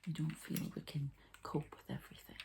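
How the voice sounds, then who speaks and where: A woman giving an ASMR energy healing session, softly and haltingly talking through each step as she goes. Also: low voice, little pitch movement, vocal fry, noisy background. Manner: soft, halting, murmured commentary on each step. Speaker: ASMR energy healer and channeler.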